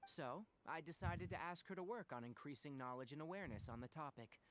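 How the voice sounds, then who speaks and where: A man's voice: fairly high pitch, fairly quick, slight background noise, lightly acted, low-quality recording. A man voicing an earnest, courteous forest ranger mentor in an anime game, explaining things politely.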